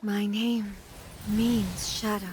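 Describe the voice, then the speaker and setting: An actress playing a solemn, proud lightning deity who rules a nation in a video game, speaking in a grave, measured, formal way. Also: female voice, medium pitch, flat delivery, over music, close to an everyday voice. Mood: somber, wistful. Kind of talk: dramatic video game voice acting.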